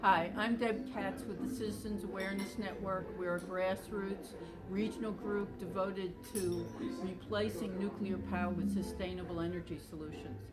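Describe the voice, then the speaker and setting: A woman spokesperson speaks fluently and confidently about her group. Loud music plays behind her mid-range voice. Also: medium pitch, fairly even intonation, normal speed. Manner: fluent, assured. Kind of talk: prepared statement.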